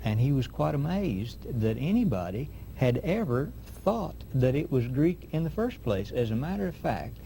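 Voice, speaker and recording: A man hosting an interview, asking questions and telling an anecdote in a measured, deliberate way. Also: medium pitch, sing-song, creaky, warm tone, slight background noise, rough recording. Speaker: host interviewer.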